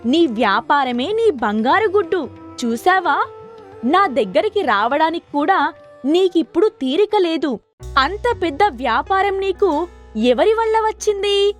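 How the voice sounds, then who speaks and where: A woman's voice: extremely high pitch, sing-song, unhurried, faint music behind, full character voice. A woman voicing a wise, patient male wandering holy man in a storybook tale, admonishing and explaining. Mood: amused, explaining.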